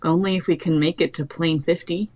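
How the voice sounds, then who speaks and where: A woman's voice: on the low side, fairly even intonation, a little fast, a little mellow, lightly acted. A woman reading the part of a defiant young female artifact thief, blunt and scornful. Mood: grim.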